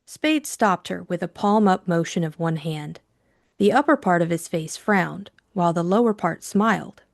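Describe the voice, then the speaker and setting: A woman's voice: lowish voice, normal speed, vocal fry, no room sound, clean studio sound. A woman reading a novel as an audiobook narrator, in scripted dramatic narration.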